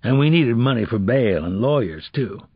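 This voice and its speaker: Weary ex-convict man, acted by a male reader, husky, earnest, pleading, street slang, troubled father, audiobook, slightly muffled. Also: mid-range voice, lively intonation, normal speed, no echo. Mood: sorrowful, earnest. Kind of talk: audiobook character dialogue.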